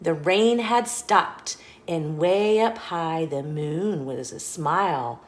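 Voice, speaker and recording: A woman reading a children's picture book aloud as an expressive, measured storyteller. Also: low-pitched. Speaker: storyteller, host of a children's read-along show. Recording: roomy echo.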